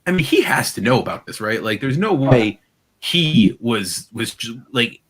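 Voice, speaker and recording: Bright-voiced man chatting casually. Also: mid-range voice, sing-song, measured pace, breathy edge. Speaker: podcast co-host. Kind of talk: casual chat.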